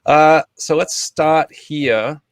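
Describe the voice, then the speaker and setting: A man's voice: a little high, very slow, clear, resonant tone, no echo. A man hosting a casual group discussion, posing questions to the others. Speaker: host.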